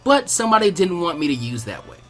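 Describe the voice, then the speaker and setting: A man's voice: high voice, sing-song, fast, fairly bright tone, soft background music. A man voicing a brash, cocky boy ninja trainee in an anime fan story. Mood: mischievous.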